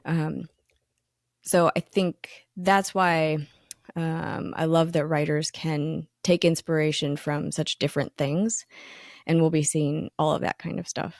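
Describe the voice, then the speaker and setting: A woman with a low, slightly gravelly voice talks in an easygoing, enthusiastic way, stopping often for ums and fresh starts. The sound is close and dry. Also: fairly even intonation, slow, darkish tone, dry recording. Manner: chatty and enthusiastic, many ums and restarts. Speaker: magazine editor, co-host. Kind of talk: casual podcast conversation.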